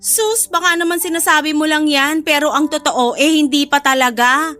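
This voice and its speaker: A woman's voice: sits high, normal speed, pushed and bright, soft background music. A woman playing a blunt, protective best friend with a sassy, scolding manner in an audio drama. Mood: blunt, teasing.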